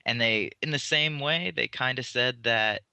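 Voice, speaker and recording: A man's voice: medium pitch, unhurried, gravelly, sharp tone, clear, resonant tone, dry recording. A man hosting a casual podcast debate, talking in a teasing, argumentative way.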